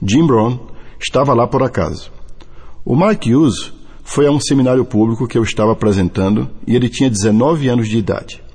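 Husky Brazilian man, earnest scripted delivery. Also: mid-range voice, darkish tone. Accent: Brazilian Portuguese. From Brazil (Bahia). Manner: deliberate, earnest reading from a script. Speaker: network marketing distributor. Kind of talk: scripted motivational testimony and read-out translation. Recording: long hall reverb.

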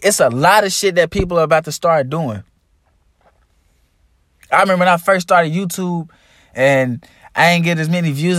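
A man's voice: fairly high pitch, dry recording, clean studio sound. A man hosting his own podcast, talking casually, loosely and profanely as he encourages and preaches to his listeners. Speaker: podcast host. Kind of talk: casual motivational monologue.

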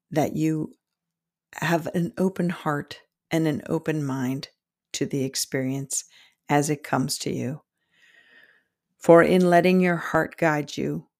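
Slow, low-pitched woman guiding a meditation. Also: darkish tone, no echo, studio quality. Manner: soothing, deliberate, with frequent pauses between short phrases. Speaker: podcast host and meditation guide. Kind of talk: guided meditation narration.

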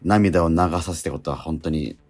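A man co-hosting a casual radio chat, speaking in halting phrases full of interjections. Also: extremely low pitch, darkish tone. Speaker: radio show co-host.

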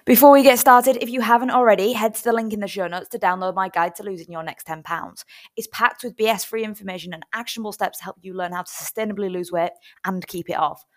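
A young woman hosting her own podcast, hoarse and losing her voice from a cold, chatting casually with lots of fillers and restarts. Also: medium pitch, steady tone, quick pace. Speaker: podcast host. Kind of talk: casual solo podcast advice.

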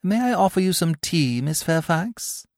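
A man voicing a sharp-tongued young country girl who speaks with falsely sweet, barbed politeness. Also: high-pitched, expressive, a little slow, no echo, voice pushed up. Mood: sweetly cutting.

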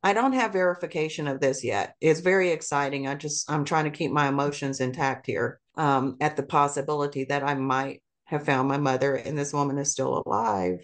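A middle-aged woman talks in a calm, earnest way, careful with every detail. Her voice sits a little low for a woman. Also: fairly quick, hardly any pauses. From South Korea. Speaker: podcast guest. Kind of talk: conversational interview.

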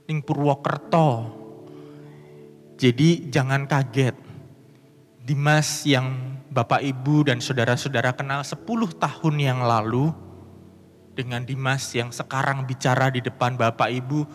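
A man's voice: mid-range voice, fairly even intonation, slow, long pauses. A man with a Banyumas Javanese accent, preaching and reading scripture aloud with emphatic, rising delivery in a church. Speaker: pastor. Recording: long church hall reverb.

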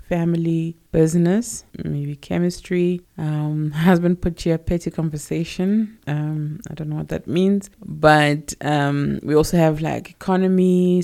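A woman's voice: low voice, creaky, warm tone. A woman from co-hosting a casual podcast, talking in a chatty, hesitant way. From South Africa. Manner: chatty, hesitant, many fillers and restarts. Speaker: podcast co-host.

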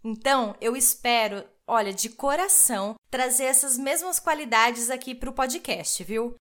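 Brazilian woman, solo podcast host; high, bright-toned, enthusiastic and conversational, speaks directly to the audience. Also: measured pace. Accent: Brazilian Portuguese. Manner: chatty, addresses listeners directly; enthusiastic, laughs often.

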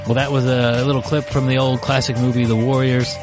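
A man's voice: medium pitch, flat delivery, fairly quick, over music. A man hosting a podcast in a casual, rambling way, with many fillers and restarts, laughing easily. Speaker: podcast host.

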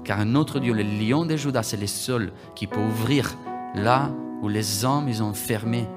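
A man from Italy, preaching a livestreamed sermon that repeats its phrases and builds from quiet talk to loud exhortation. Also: fairly low pitch, unhurried, loud music behind the voice. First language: Italian.

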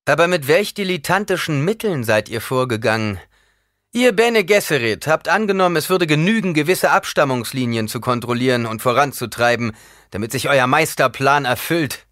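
A man's voice: fairly low pitch, sing-song, a little fast, fairly bright tone, no echo, clean studio sound. A male narrator voicing a defiant, commanding young man in an audiobook, declaiming with scorn and force. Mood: angry, threatening.

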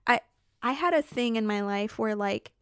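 A woman's voice: fairly high pitch, moderate pace, a bit bright, clean tone, dry recording, clean studio sound. Chatty self-mocking woman in her late twenties. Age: late 20s. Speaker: podcast co-host. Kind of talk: casual chat.

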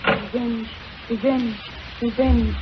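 Crazed, slow-chanting young woman. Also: fairly high pitch, steady tone, background noise. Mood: deranged, obsessive. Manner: repetitive, trance-like. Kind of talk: old radio horror drama acting. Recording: dull vintage radio recording.

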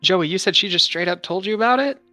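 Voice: mid-range voice, expressive, fast talker, bright, forceful tone, soft background music. A tabletop player who asks questions and teases the others. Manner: inquisitive, teasing. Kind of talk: casual in-character roleplay.

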